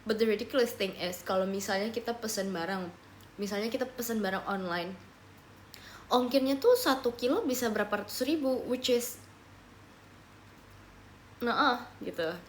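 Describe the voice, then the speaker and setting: A woman's voice: a little high, normal speed, long pauses, a little hiss or hum. A young woman from Papua, Indonesia, chatting casually on a livestream, answering viewers and slipping English phrases into her talk. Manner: relaxed, chatty, answers questions as she reads them. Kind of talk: casual live chat reading viewer comments.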